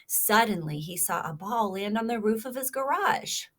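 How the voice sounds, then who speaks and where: A woman's voice: mid-range voice, lively intonation, moderate pace. A woman reading a children's story aloud expressively, stopping to prompt her young listeners with questions. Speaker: storybook reader.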